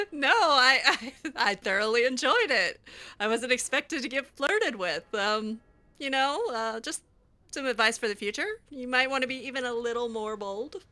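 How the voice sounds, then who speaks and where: Female gameplay roleplayer, bright higher voice, sassy and blunt, casual improvised delivery. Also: lively intonation, a little slow. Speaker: gameplay roleplayer voicing own character's replies.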